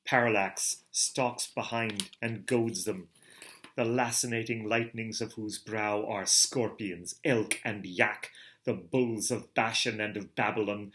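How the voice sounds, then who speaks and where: Slow, theatrical male reader. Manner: theatrical, swells from hushed to booming. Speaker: reader of a novel. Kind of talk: dramatic literary reading.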